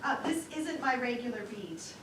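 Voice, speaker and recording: A woman's voice: fairly high pitch, big pitch swings, moderate pace. A woman playing a polite, earnest newspaper reporter who asks insistent, confused questions in a stage comedy routine. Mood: polite, hesitant. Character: polite, increasingly confused female newspaper reporter, new to baseball. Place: small-town theater stage. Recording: distant mic in echoey theater, noisy.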